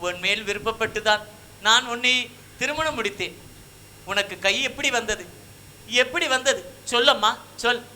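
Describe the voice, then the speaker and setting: A man with a Tamil Nadu accent playing an earnest, pleading king and husband in halting, formal folk puppet-theatre speech. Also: high-pitched, pushed and bright, slight background noise. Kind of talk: folk shadow puppet drama, formal stage diction.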